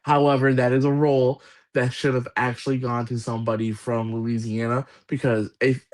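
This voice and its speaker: A man in casual chat as co-host, talking in halting rambles with many restarts. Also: medium pitch, level tone.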